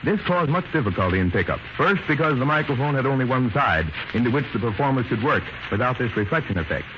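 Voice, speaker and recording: A man's voice: medium pitch, very animated, fast talker. A man presenting as a 1930s radio announcer in a formal, precise, instructive manner. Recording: noisy muffled 1930s radio recording.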